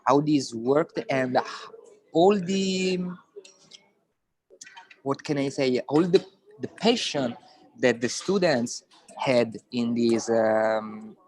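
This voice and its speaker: A man with an Italian accent speaks English with frequent pauses and restarts. His pitch sits in the middle for a man. He reflects at an easy, searching pace. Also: expressive, darkish tone, low-quality recording. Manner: halting, searching for English words. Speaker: program organizer. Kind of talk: casual online panel chat.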